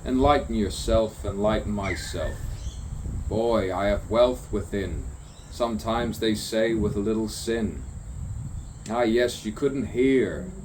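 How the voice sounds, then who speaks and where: A man improvising stream-of-consciousness spoken-word poetry in short, deliberate, theatrical phrases full of rhyme and repetition. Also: lowish voice, darkish tone. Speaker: poet. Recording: background noise of insects and birds.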